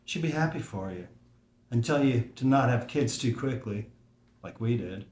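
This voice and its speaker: An actor playing a wistful, adventurous older father who speaks softly and reflectively in a table read. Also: medium pitch, lively intonation, normal speed, a little hiss or hum, lightly acted. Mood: fond. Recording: roomy echo.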